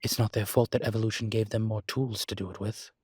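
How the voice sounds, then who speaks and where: A suave demon acted by a man for an intimate roleplay audio drama. His voice is lowish and husky, with little melodic movement. He speaks wryly and articulately, proud and protective of his kind, in a very dry, close recording. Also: fairly even intonation, darkish tone, no room sound. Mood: indignant, protective. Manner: wry, articulate, unhurried emphatic phrasing.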